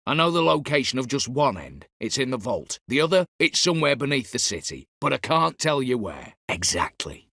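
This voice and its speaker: A man's voice: mid-range voice, big pitch swings, normal speed, gravelly, hardly any pauses, pushed and bright, no room sound, clean studio sound, exaggerated voice. An actor voicing a scheming, vengeful disinherited nobleman turned heist leader in a video game, with a colloquial, wry, conspiratorial way of talking. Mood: businesslike, explaining. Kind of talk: video game character dialogue.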